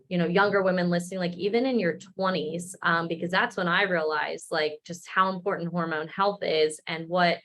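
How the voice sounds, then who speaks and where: A woman in her thirties, a wellness coach, talking in a relaxed, casual way with lots of fillers. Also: lowish voice. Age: 30s. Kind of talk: casual chat.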